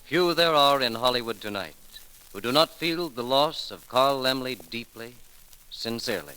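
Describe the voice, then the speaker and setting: Expressive, forceful 1930s radio announcer man. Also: mid-range voice, moderate pace, smooth, faint music behind. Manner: formal, solemn, declamatory. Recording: noisy 1930s radio broadcast recording.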